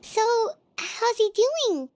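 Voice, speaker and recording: A woman voicing a tiny, curious, childlike fairy companion with a squeaky voice in a video game. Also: lively intonation, takes it slowly, vocal fry, heavily acted.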